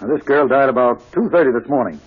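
An actor playing a blunt, suspicious police inspector in a vintage radio drama. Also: male voice, mid-range voice, animated, fairly quick, husky. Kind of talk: 1940s radio acting. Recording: thin, phone-like old radio broadcast.

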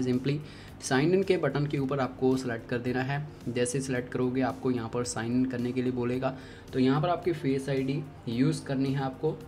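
A man's voice: mid-range voice, darkish tone. A man with an Indian accent hosting a tech tutorial, giving step-by-step instructions to viewers.

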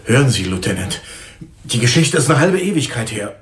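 A man's voice: mid-range voice, big pitch swings, fairly quick, echoey room, natural voice. A man acting in a radio drama as a hot-tempered, defensive bar owner, blustering and indignant.

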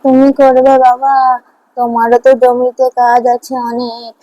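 A woman's voice: a little high, flat delivery, a little slow, dark tone, lightly acted. A woman voicing a frail, poor old villager in a cartoon fairy tale, with a plaintive, motherly manner. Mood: tender, concerned. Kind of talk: Bengali animated fairy-tale dialogue.

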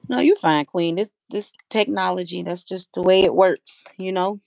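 A woman's voice: fairly low pitch, moderate pace, gravelly, darkish tone, no room sound. A middle-aged woman hosting a casual livestream from a room at home, talking easily into a webcam. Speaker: livestream host.

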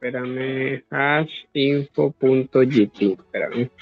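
A man from Colombia with a Colombian Spanish accent, a native Spanish speaker, talking haltingly in broken English mixed with Spanish. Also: medium pitch, dry recording, lo-fi sound, faint music behind. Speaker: online game opponent.

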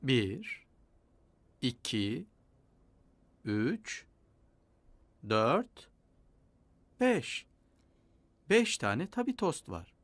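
Gentle storybook cartoon narrator man. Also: mid-range voice, huge pitch swings, drawn-out words, long pauses, dry recording. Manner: gentle, storybook telling, counting aloud.

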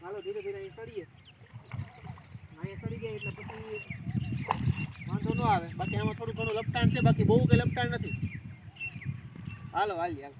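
A man's voice: high voice, fairly quick, long pauses, dull audio. A man, chatting casually as he guides viewers across a shallow rocky river. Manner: chatty, guiding viewers along. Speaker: village vlogger. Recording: loud outdoor noise.

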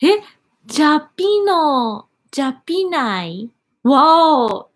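A young woman with a Japanese accent, chatting on a live stream with a high, bright-pitched voice. She talks slowly, reading viewer comments aloud and answering them with cheerful exclamations. She is in a small room, speaking to a desk webcam. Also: sing-song. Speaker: YouTuber. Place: small indoor room with wooden cabinets.

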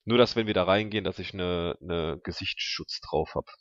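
A man's voice: deep voice, normal speed, fairly bright tone, no room sound. A man playing a tabletop role-playing game, chatting casually in a blunt, colloquial, rambling way on a slightly dull, dry recording.

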